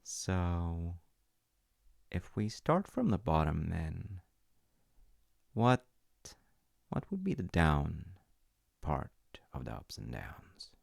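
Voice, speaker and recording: A very deep, gravelly-voiced dad, played by a man, caring and patient, speaking softly and reassuringly in an audio roleplay. He takes his time and slips into a whisper now and then. The sound is close and dry. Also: wildly swooping pitch, dry recording, heavily acted. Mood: gentle. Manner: soft, reassuring, unhurried, drops to whispers at times. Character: caring middle-aged dad, gentle and patient. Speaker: voice actor.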